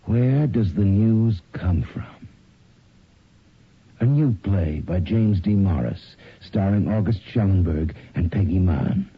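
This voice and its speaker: Husky, slow, sinister horror host. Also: male voice, low-pitched, lively intonation, heavily acted. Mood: ominous. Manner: slow, ominous, dramatic delivery. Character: sinister, unhurried male horror-anthology host. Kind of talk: theatrical 1980s radio horror hosting. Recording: muffled, phone-like old radio recording.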